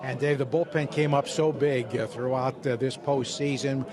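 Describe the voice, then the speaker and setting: A man, a radio baseball broadcaster, talking conversationally with frequent pauses during post-game coverage from a hallway outside a clubhouse. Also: mid-range voice, fairly even intonation. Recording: noisy celebration crowd behind.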